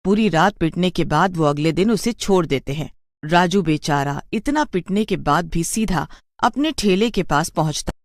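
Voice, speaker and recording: An expressive narrator tells a scripted cartoon tale. The pitch is moderate but moves around a great deal from phrase to phrase. The recording is dry, with no audible room. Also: moderate pace, no echo. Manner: expressive storytelling.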